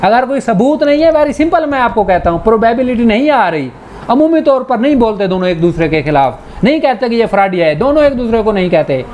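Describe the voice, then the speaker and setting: A man's voice: very high voice, very animated, a little fast, darkish tone. Male law lecturer, Pakistani accent, conversational delivery with English legal terms, noisy recording. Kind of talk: informal lecture explaining statute articles with examples.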